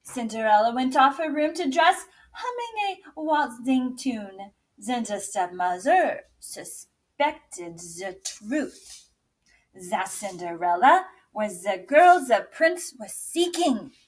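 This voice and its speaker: Expressive woman storyteller, high and slow. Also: low-quality recording. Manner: expressive, theatrical, deliberate. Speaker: English teacher and drama director reading aloud. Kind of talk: dramatic children's storybook reading.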